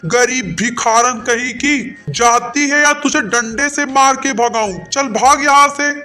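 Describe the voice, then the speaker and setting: A man's voice: very high voice, measured pace, bright, forceful tone, exaggerated voice. A man voicing a rich, stingy crow boss in a children's cartoon, with a haughty, theatrical manner. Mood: angry, threatening. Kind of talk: Hindi children's cartoon dialogue.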